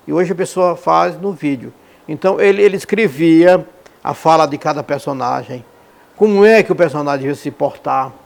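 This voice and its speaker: A man's voice: fairly high pitch, gravelly. A man with a Northeastern Brazilian accent reminiscing in an interview, repeating phrases for emphasis. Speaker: radio professional and columnist, interviewee.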